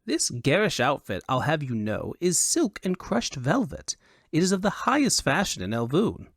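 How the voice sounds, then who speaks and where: High-pitched flamboyant, foppish nobleman. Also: wildly swooping pitch, moderate pace, no echo, clean studio sound, exaggerated voice. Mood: haughty, offended. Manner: affected, haughty, theatrical delivery. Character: flamboyant foppish Elvunian nobleman, excitable, vain, dim. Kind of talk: audiobook character acting.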